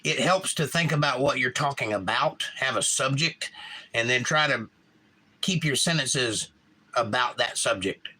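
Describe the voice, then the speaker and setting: Male stream host with a Georgia accent, bright and forward voice, loose rambling chat. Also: mid-range voice, unhurried, husky, low-quality recording. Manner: rambling, reads chat comments aloud. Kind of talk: casual live stream chat.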